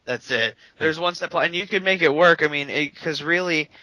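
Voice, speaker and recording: A man's voice: fairly high pitch, a little fast, pushed and bright, no echo, poor audio. A man co-hosting a casual gaming podcast, opinionated and long-winded, often restarting his sentences. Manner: opinionated, talks at length with frequent restarts. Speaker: podcast co-host.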